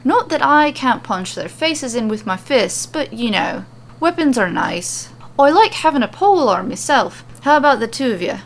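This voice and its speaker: A woman's voice: fairly high pitch, big pitch swings, normal speed, a little hiss or hum, voice pushed up. A woman voicing a jolly, boastful Irish woman warrior in a fantasy story, theatrical and friendly with a dour streak.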